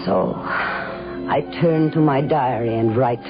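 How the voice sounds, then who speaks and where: A woman's voice: rumbling low, slow pace, music in the background. An actress playing a cornered, proud and wary woman, speaking formally and precisely in a radio drama. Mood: anxious resolve. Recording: dull lo-fi radio recording.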